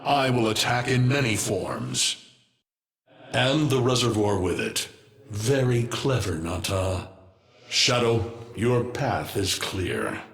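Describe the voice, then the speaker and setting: An actor playing an ancient, vengeful alien destroyer of worlds in a video game, a betrayed father who speaks in slow, solemn, menacing and grandiose pronouncements. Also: on the low side, animated, a bit bright, exaggerated voice. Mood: menacing, commanding. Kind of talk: dramatic video game villain monologue. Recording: long cavernous reverb.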